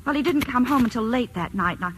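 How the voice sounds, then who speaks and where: A woman's voice: fairly high pitch, lively intonation, fast talker, close to an everyday voice, poor audio. An actress playing an anxious, earnest married woman warning an old friend, in a hesitant, confiding 1940s radio drama style. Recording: hissy vintage radio broadcast.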